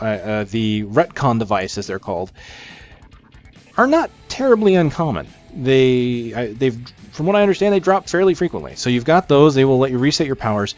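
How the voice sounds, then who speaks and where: Guy doing casual game commentary, medium pitched voice, thinking out loud, bit of music behind him. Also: highs cut off. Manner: conversational, thinking aloud, self-correcting. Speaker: gamer presenting a game preview. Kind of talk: casual gameplay commentary, explaining as they play.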